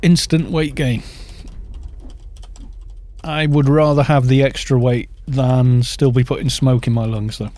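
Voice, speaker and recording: A man's voice: medium pitch, steady tone, measured pace, warm tone, no echo. A British man in his fifties chatting in a rambling way while streaming a game and reading out viewer chat. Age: 50s. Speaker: gaming streamer.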